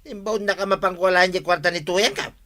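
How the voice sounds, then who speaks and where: A man's voice: high voice, steady tone, a little fast, bright, forceful tone. A man acting a mischievous, evasive married villager who wheedles his way along in an Ilocano radio comedy drama. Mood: pleading.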